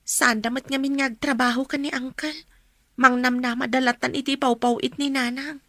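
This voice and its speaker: A woman's voice: high voice, fairly even intonation, normal speed, fairly bright tone, dry recording, clean studio sound. A woman acting a dutiful young student daughter in an Ilocano radio drama, earnest and respectful, with a Filipino accent. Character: young female student, dutiful daughter, plaintive but resolute.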